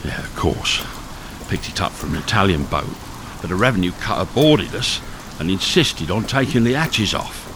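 A man's voice: low voice, lively intonation, moderate pace. A man playing a hunted, hardened smuggler in a radio thriller, terse, commanding and evasive. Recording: noisy radio drama with rain sounds.

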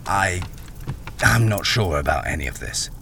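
An actor playing a wary, cornered army deserter working as a miner in a radio crime drama, hesitant and grim. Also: male voice, deep voice, expressive, measured pace, creaky, a bit bright, a little hiss or hum, full character voice. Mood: uneasy, doubtful. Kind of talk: radio crime drama acting with first-person narration.

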